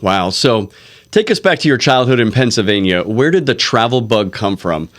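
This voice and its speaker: A man's voice: medium pitch, lively intonation, normal speed, fairly bright tone. Friendly male podcast host asking questions. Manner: friendly, curious, asks follow-up questions. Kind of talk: conversational podcast interview.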